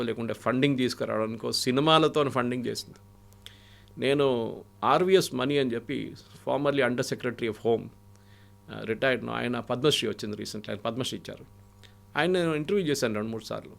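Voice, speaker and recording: An Indian man speaks as a guest analyst, with a noticeably creaky voice at a middling pitch. He lays out political stories and anecdotes, moving back and forth between Telugu and English. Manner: mixes English phrases into Telugu.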